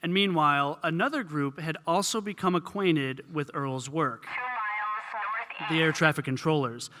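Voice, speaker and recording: A man's voice: on the high side, moderate pace, background noise. A man reading scripted narration as a radio reporter.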